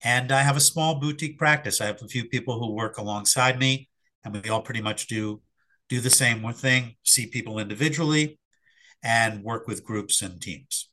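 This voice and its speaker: A middle-aged man, slightly hoarse after an illness, answering interview questions in a reflective, hesitant way with fillers and restarts. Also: mid-range voice, normal speed, sharp tone, poor audio. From Philadelphia area. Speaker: sports psychologist guest.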